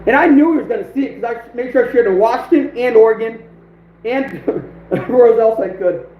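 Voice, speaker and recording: Livestream host, man, high voice, hoarse, echoey room on lo-fi audio. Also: lively intonation, brisk, slight background noise. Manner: laughing, reacting to chat. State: losing his voice. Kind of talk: casual livestream chat.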